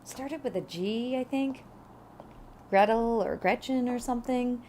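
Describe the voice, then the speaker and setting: A woman's voice: on the high side, a little slow, mellow, slight background noise, lightly acted. A woman playing a casual, helpful office receptionist in a naturalistic audio drama, talking in an offhand, matter-of-fact way.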